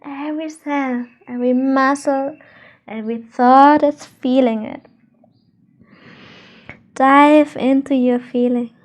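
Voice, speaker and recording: High-voiced slow woman guiding meditation. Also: fairly even intonation. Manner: soothing, unhurried, gentle instructions with pauses. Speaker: meditation teacher, channel host. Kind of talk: guided meditation.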